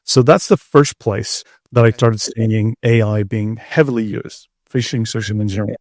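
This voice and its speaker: A man's voice: fairly low pitch, fairly even intonation, normal speed, darkish tone, dry recording, studio quality. A middle-aged man speaking as an expert guest, explaining things in a conversational interview. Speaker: expert guest, security researcher.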